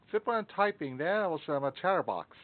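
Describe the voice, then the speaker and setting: A man's voice: a little high, sing-song, very fast. A man hosting a live stream, chatting casually and muttering and swearing while he fixes technical trouble at his desk mic. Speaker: stream host. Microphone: desktop mic. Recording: narrow phone-like sound.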